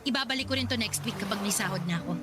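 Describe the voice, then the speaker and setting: A woman's voice: mid-range voice, racing, music in the background, natural voice. An actress playing a brash, chatty young college girlfriend who complains and dreams of riches in a Tagalog radio drama. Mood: chatty, needy.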